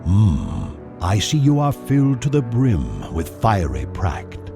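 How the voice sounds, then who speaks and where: Deep, gravelly, pompous giant warrior. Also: male voice, wildly swooping pitch, music in the background, exaggerated voice. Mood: haughty, menacing. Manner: pompous, slow, theatrical. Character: big, proud, menacing arena warrior, easily annoyed. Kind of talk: fantasy game movie voice acting.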